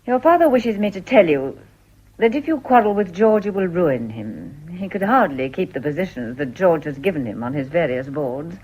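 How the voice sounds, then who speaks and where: An actress playing a shrewd, composed middle-aged mother in a period radio drama, with measured, probing upper-class English speech. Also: lowish voice, lively intonation, darkish tone, breathy edge. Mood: shrewd, firm. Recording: dull, lo-fi radio recording.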